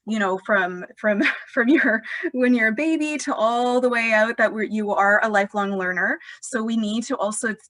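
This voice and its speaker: A woman's voice: fairly high pitch, normal speed, few pauses, a bit bright, no echo. A woman from Canada moderating a roundtable discussion over a video call, talking in a conversational, upbeat way. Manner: conversational, upbeat, light laughs.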